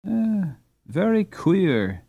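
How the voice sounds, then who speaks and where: A man's voice: sits high, sing-song, drawn-out words, creaky, mellow, pitched above the performer's own voice, exaggerated voice. Guy doing a well-off teen boy in a storybook, proud, outspoken, says it with emphasis. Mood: puzzled.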